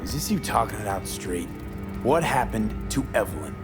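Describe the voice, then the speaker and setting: An actor voicing a tough, determined street mercenary in a video game, blunt and terse. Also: male voice, on the low side, very animated, moderate pace, over music. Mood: confrontational. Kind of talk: video game character acting.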